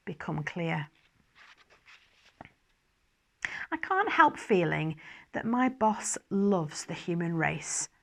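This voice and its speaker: A fretful archangel bureaucrat , voiced by a woman, formal and wry, confiding in a diary. The manner is fussy and faintly superior, in a dramatised storytelling style. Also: medium pitch. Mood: exasperated, disdainful. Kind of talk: first-person dramatised diary reading.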